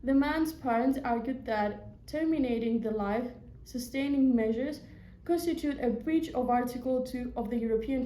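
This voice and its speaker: A woman's voice: a little high, dark tone. A young woman from Greece, a law student, reading a prepared presentation aloud with small slips. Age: young adult. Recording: echoey room with faint noise.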